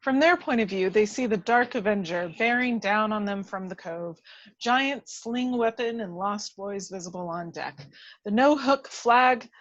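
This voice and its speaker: Slow-paced woman reading stage directions. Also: medium pitch, lo-fi sound. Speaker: reader of action descriptions. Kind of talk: scripted narration at a table read.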